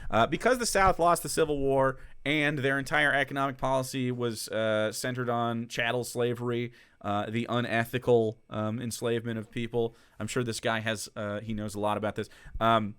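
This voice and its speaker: A man's voice: medium pitch, level tone, normal speed, few pauses, a bit bright, clean tone, dry recording, clean studio sound. A man who streams political commentary, reacting to clips in a casual, sarcastic and profane way, laughing between remarks.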